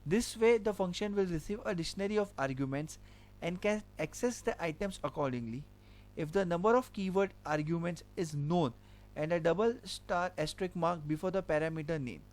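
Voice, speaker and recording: A man with a high voice teaches a coding lesson. He walks through each example step by step and keeps repeating himself with "okay". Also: brisk, a little mellow. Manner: explains step by step, repeats points with filler "okay". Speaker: programming teacher. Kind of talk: screen-recorded coding lesson.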